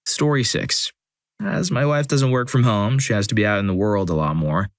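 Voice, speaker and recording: A man narrating a compilation of first-person stories in a steady, scripted reading, with dry, wry asides. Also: fairly low pitch, normal speed, no echo.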